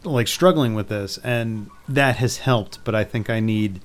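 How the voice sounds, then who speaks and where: A man in his early forties, a podcast co-host, talking in casual chat in a halting, hesitant way full of restarts. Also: fairly low pitch. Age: 40s.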